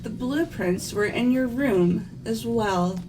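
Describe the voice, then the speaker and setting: A woman's voice: on the high side, takes it slowly, poor audio. A woman voicing a blunt, accusing, headstrong teenage girl in a fan-voiced visual novel. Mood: suspicious. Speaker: voice actor in fan game playthrough. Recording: music under the voice.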